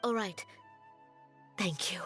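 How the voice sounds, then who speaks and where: A woman's voice: medium pitch, takes it slowly, a bit bright, faint music behind. A worried, polite village mother. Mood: grateful. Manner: pleading, polite. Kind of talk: anime game voice acting.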